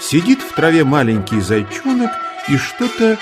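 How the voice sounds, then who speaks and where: A man narrating a scripted children's tale as an expressive storyteller. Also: a little high, normal speed. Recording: music under the voice. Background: music.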